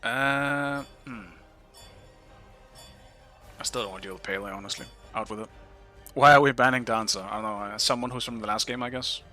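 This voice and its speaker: A man from Denmark with a Danish accent, chatting casually with his live-stream viewers while playing a game, curious and quick to swear. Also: mid-range voice, steady tone, bright, forceful tone, soft background music.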